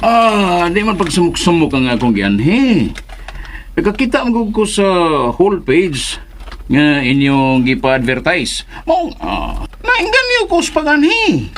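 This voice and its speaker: A man's voice: sits high, huge pitch swings, measured pace, fairly bright tone, a little hiss or hum, full character voice. A man playing a meddlesome, boastful old man in a Filipino radio drama, stammering, hesitant and given to exclamations. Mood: evasive boasting. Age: older.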